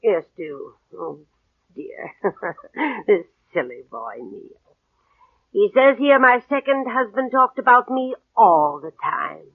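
An actress playing a vain, demanding old invalid aunt in 1940s radio drama, querulous, condescending and coquettish. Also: female voice, medium pitch, very animated, slow, no room sound, narrow, tinny audio, exaggerated voice. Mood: vain, belittling. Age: older. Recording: husky band-limited old radio recording.

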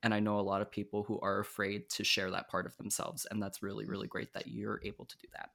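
A man's voice: on the low side, monotone, fast, gravelly, a bit bright. A man in his twenties hosting a podcast, chatting enthusiastically with his guests. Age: 20s. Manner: chatty, enthusiastic, with frequent restarts. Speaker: podcast host. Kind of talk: casual interview chat.

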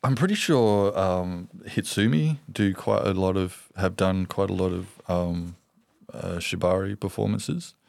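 Very deep, gravelly Australian man. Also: measured pace, darkish tone, no echo, clean studio sound. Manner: hesitant, repeats words, many ums. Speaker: podcast guest. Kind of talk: candid interview conversation.

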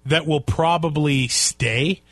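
A man co-hosting a casual sports podcast, talking in a relaxed, halting way with lots of fillers. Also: on the high side, dry recording. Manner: relaxed, hesitant with many fillers. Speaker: podcast co-host.

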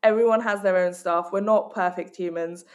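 A woman's voice: lowish voice, steady tone, moderate pace. A woman from Hong Kong, working as a life coach and author, giving direct and emphatic answers in a podcast interview.

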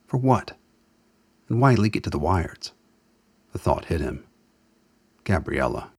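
A man giving dramatic scripted narration for a radio play in a husky voice. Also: low-pitched, big pitch swings, unhurried, a little mellow, no echo.

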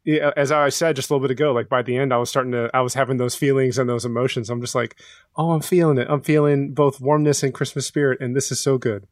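A man's voice: mid-range voice, steady tone, quick pace, no echo, clean studio sound. A man on a podcast panel talking reflectively and casually, with an earnest, affirming, thoughtful way of speaking.

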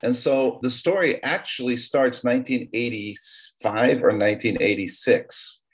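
A middle-aged man from Taiwan telling personal stories as an interview guest, conversational and a little halting. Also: mid-range voice.

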